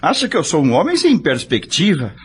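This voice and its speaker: A hugely expressive Brazilian husband played by a male actor in an audio soap opera. He is a beleaguered, defensive bank employee who protests theatrically, with faint noise behind him. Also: fairly high pitch, fairly quick. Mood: embarrassed, downcast.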